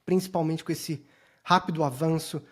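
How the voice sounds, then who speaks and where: A man's voice: fairly high pitch, steady tone, normal speed. A man with a Brazilian accent hosting an interview podcast, introducing and questioning his guest in courteous, rather formal phrasing. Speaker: podcast host.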